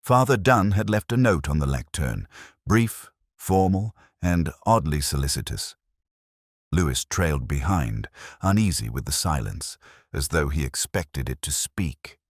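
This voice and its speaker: A man playing a reflective, world-weary police detective, narrating a mystery in the first person with measured, deliberate, literary phrasing. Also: extremely low pitch, expressive, slightly rough, no room sound, clean studio sound, heavily acted. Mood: brooding, reflective.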